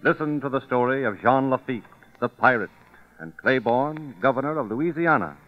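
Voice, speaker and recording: A man's voice: mid-range voice, expressive, moderate pace. A man announcing and narrating in a dramatic, scripted 1930s radio style. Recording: muffled vintage radio recording. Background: loud brassy music.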